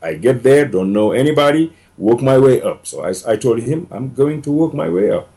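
Gravelly-voiced man. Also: medium pitch, big pitch swings, normal speed, warm tone. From Senegal. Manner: earnest, repeats words and restarts often. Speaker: podcast guest. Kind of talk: casual storytelling interview.

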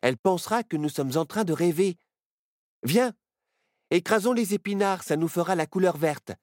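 A man doing a calm, sensible child. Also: sits high, normal speed, no echo, clean studio sound. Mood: scheming.

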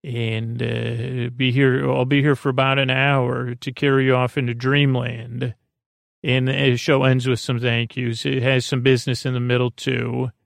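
A man hosting a bedtime podcast in a gravelly voice, rambling hesitantly and repetitively in a monologue meant to lull listeners to sleep. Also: medium pitch, little pitch movement, a little slow, no room sound, clean studio sound. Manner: meandering, hesitant, repetitive, with murmured asides. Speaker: podcast host.

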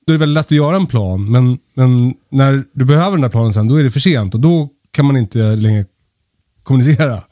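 A man's voice: mid-range voice, animated, dark tone, dry recording, studio quality. A man hosting a podcast in casual chat, informally thinking aloud with everyday examples. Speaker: podcast host.

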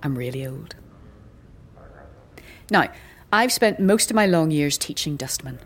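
An actress playing a very old, weary and sardonic priestess in a fantasy audio drama, blunt, with archaic slang and wry chuckles. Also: female voice, low-pitched, lively intonation, unhurried, full character voice. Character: very old striking woman, sardonic, weary, doubting death-cult priestess.

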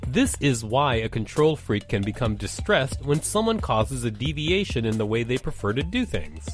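A man's voice: medium pitch, sing-song, normal speed, faint music behind. A man co-hosting a podcast, reading articles aloud and adding casual commentary. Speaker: podcast co-host.

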